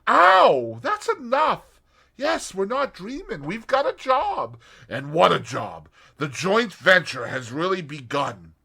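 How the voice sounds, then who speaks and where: Brief: man putting on a bright, high young woman, eager and gushing, big lively swings. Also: breathy edge, heavily acted. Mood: excited. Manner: breathless, gushing and quick to exclaim. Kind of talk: audiobook character voice.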